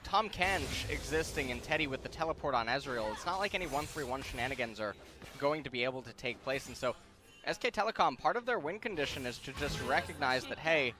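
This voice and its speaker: A man's voice: mid-range voice, animated, brisk, music in the background. A man working as an esports analyst commentator, explaining the game and bantering casually.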